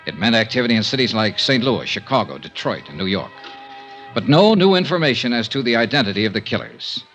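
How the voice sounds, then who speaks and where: An actor with an American accent playing a smooth, tough but tender insurance investigator in a 1950s radio drama, with measured, clipped delivery. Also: male voice, mid-range voice, very animated, a bit bright, faint music behind. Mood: matter-of-fact narrating. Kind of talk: 1950s radio detective drama acting and narration. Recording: dull 1950s radio recording.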